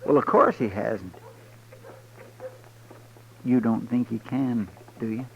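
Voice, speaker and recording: An actor playing a simple, loyal, anxious deputy sidekick in a 1950s radio western, folksy and deferential. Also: male voice, medium pitch, slow, vocal fry, fairly bright tone. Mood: worried. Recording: muffled noisy old radio recording.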